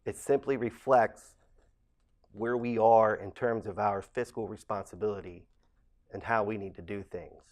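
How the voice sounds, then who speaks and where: Late-40s man, somewhat low voice, formal and earnest, local candidate addressing viewers. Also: fairly even intonation, unhurried, a little mellow. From Ohio, USA. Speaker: council president candidate. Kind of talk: campaign statement to camera.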